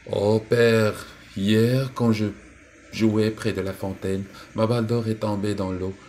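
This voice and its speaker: A man's voice: lowish voice, level tone, slow, dark tone, a little hiss or hum, voice pushed up. A man voicing a spoiled young princess in a storybook tale. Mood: distressed.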